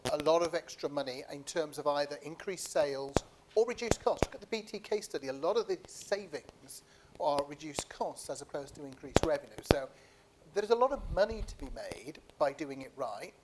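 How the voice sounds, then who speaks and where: A man's voice: fairly high pitch, lively intonation, normal speed, noisy background. Man explaining points on a panel. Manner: explanatory, emphatic on key points. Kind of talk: panel discussion talk.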